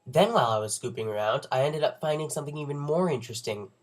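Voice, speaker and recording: A man's voice: mid-range voice, fast. Congested young man explaining science. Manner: wry, self-joking, nerdy. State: under the weather with a cold. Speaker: presenter of an educational video.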